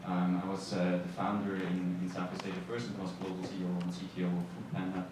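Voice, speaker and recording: Low-pitched Swiss-born male panelist, hesitant delivery, caught from afar in a booming hall. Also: monotone, fast. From Switzerland. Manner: hesitant, many fillers. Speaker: startup executive on a panel. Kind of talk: panel introduction. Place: conference hall. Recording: echoey hall from far off, noisy.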